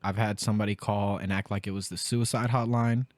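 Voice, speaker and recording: A man's voice: lowish voice, fairly even intonation, brisk, dry recording. A man in his twenties chatting on a podcast, telling rambling stories about his job. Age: 20s. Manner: laid-back, rambling storyteller, restarts often. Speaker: guest. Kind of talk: casual podcast chat.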